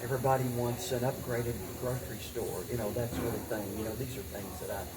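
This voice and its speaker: An older man giving reflective interview answers as a mayor, haltingly, often repeating and restarting his phrases. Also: medium pitch, fairly even intonation, noisy background. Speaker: mayor, talk show guest. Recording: roomy, distant mic, voices in background.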